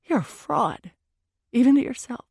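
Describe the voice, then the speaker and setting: A woman's voice: high-pitched, big pitch swings, normal speed, creaky, a little mellow, husky, dry recording, clean studio sound, voice pushed up, exaggerated voice. A woman voicing a heartbroken, wounded and furious young woman in first-person audiobook dialogue, emotional and emphatic. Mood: seething, accusing.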